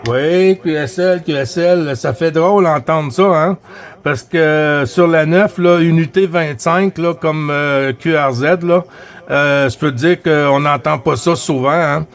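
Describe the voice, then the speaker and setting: Chatty Quebec man, slightly high voice. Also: steady tone, unhurried. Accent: Quebec French. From Quebec, Canada. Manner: chatty, friendly, repeats phrases and radio codes. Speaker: amateur CB radio operator. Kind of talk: casual radio chat.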